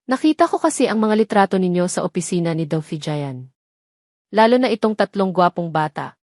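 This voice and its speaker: A gentle elderly head nurse in an audio story, voiced by a woman narrator. She speaks softly and with sympathy, as if confiding something. The recording is close and dry. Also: on the low side, lively intonation, fairly quick, no room sound. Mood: gentle, friendly. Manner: soft-spoken, caring, confiding.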